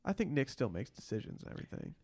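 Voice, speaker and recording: A man's voice: mid-range voice, sing-song, quick pace, mellow, breathy edge. A man hosting a podcast, chatting casually and jokingly as he recaps a TV show. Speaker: podcast host.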